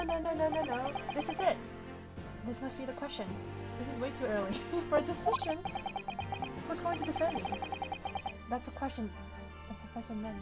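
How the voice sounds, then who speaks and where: A woman live-streaming a game from her bedroom, reading dialogue aloud and reacting in a dramatic, casual, exclaiming way as she talks to herself. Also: high-pitched. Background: loud game music.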